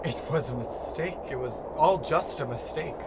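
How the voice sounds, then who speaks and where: Halting, pleading, lonely man played by an actor. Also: medium pitch, lightly acted. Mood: defensive. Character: lonely, guilt-ridden, desperate man clinging to past. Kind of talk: audio drama acting. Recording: clear background noise and ambience.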